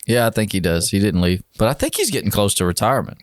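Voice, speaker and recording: A man's voice: fairly low pitch, very animated, quick pace, dry recording, studio quality. An American man hosting a casual interview chat, easygoing, often putting in short acknowledgements. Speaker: podcast host.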